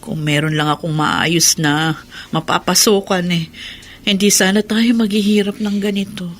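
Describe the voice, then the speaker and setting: A woman's voice: fairly low pitch, fairly even intonation, normal speed, natural voice. An actress with a Filipino accent playing a poor, caring mother in a radio drama, anxious and apologetic. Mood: sorrowful apology. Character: poor, fretful, long-suffering caring mother.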